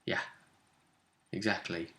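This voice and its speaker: A man chatting casually as a game master, recounting a tabletop session like an animated storyteller. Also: takes it slowly. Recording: echoey untreated room.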